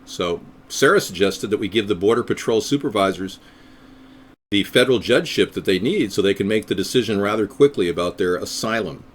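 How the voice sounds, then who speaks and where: A man's voice: on the low side, lively intonation, fairly quick. A middle-aged man from the United States rambling sardonically as he hosts his own live stream for viewers. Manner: rambling, sardonic, slips into silly voices. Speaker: third-party candidate hosting a live stream.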